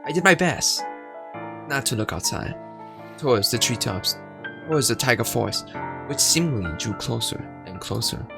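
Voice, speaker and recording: A man's voice: medium pitch, expressive, moderate pace, gravelly, pauses often, over music. A man gaming on video, reading the game's text aloud and chatting with fillers. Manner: reads game text aloud, rambling with fillers. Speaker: gaming YouTuber. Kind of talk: game playthrough narration and chat.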